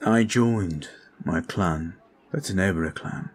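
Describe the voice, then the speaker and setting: A man playing a calm, courteous, protective vampire in an ASMR roleplay, soft-spoken and halting, with formal phrasing. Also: sits low, slight background noise. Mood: grave, confiding. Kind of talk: ASMR roleplay audio drama.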